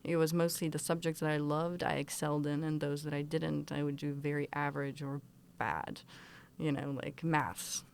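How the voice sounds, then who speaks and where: A woman in her twenties from Croatia, co-hosting a casual podcast talk in a quick, opinionated way that often breaks into laughter. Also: deep voice, fairly even intonation, creaky, a little hiss or hum. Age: 20s. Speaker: podcast co-host. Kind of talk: casual conversation.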